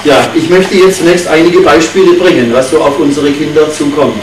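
German-speaking preacher in an echoey hall. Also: male voice, a little high, steady tone. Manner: short phrases, pausing for translation. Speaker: visiting preacher. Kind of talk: sermon given through an interpreter. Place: church hall. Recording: echoey hall from far off, noisy.

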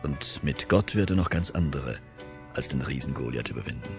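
An actor playing a weary, authoritative and devout army captain, grave and commanding in a radio play. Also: male voice, rumbling low, expressive, moderate pace, muffled recording, music in the background. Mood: grave, faithful.

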